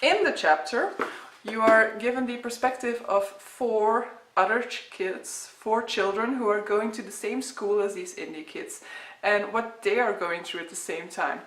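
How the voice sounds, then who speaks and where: A woman's voice: mid-range voice, a little slow, fairly bright tone, a little hiss or hum. A woman in her thirties from Belgium with a Flemish accent, a native Dutch speaker chatting casually about books in a rambling way with frequent fillers. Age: 30s. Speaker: book vlogger. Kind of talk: casual chat to camera. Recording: echoey room.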